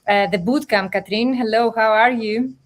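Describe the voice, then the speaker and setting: A woman's voice: on the high side, moderate pace. A woman with a Greek accent hosting a live-streamed interview over a video call, chatty and friendly, with fillers and restarts. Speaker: host and business coach.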